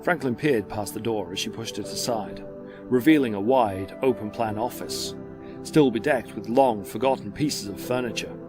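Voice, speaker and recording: A man narrating a dramatic story from a script in a measured, descriptive way. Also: lowish voice, over music.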